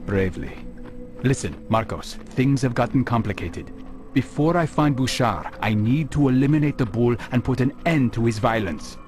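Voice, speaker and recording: Guy voicing a serious young assassin in a game cutscene, measured and formal, music under it. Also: mid-range voice, animated, a little mellow, close to an everyday voice. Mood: resolute, serious. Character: grave, resolute young male medieval assassin, cool and philosophical. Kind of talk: video game cutscene voice acting. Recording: music behind the voice.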